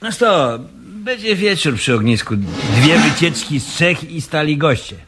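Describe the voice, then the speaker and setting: An actor in a Polish radio comedy playing a talkative regular customer, drawling and exclamatory. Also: male voice, on the high side, animated, measured pace, noisy background. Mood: impressed, chatty. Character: talkative regular male customer buying sausage.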